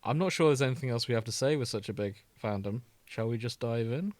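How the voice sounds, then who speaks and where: A male podcast host with a middling pitch, recorded close and dry. He chats casually about fandom and keeps the conversation moving with questions. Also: lively intonation, fast talker, no room sound. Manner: keeps steering the discussion with questions. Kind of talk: casual banter on a fan podcast.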